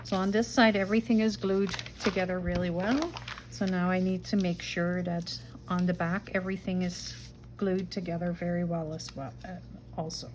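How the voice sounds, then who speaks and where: A middle-aged woman from the Netherlands with a Dutch accent, chatting to herself and her viewers while making a journal page, sometimes dropping to a soft whisper. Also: lowish voice, steady tone, moderate pace, a little hiss or hum, rough recording. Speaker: junk journal crafter. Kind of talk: casual craft-along narration.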